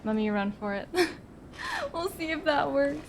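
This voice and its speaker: A woman's voice: high voice, lively intonation, a little slow, a little hiss or hum. A woman giving casual live commentary as she streams a game, exclaiming and muttering repeated words to herself. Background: game music.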